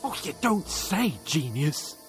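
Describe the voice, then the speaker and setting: A man's voice: fairly high pitch, very animated, takes it slowly, faint music behind. A jittery, sarcastic young nobleman. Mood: tense. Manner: sarcastic, stammering when nervous. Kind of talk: video game cutscene acting.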